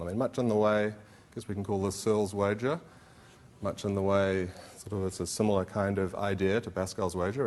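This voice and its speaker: A middle-aged man giving a philosophy conference talk, conversational and hedging, with many fillers and restarts. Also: fairly low pitch. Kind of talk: academic conference presentation.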